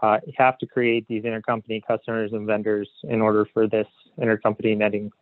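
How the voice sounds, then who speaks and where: A man presenting a software webinar as a consultant, explaining slides in a hesitant way padded with "you know". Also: lowish voice, flat delivery, fast. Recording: phone-like narrow band, roomy echo.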